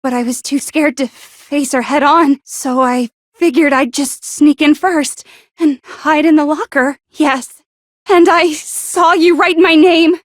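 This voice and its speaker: A woman voicing a paranoid, bitter and insecure teenage girl in an anime video game, stammering, defensive and sharp-tongued. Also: high voice, fairly even intonation, slow pace, dry recording, studio quality. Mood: subdued, confessing.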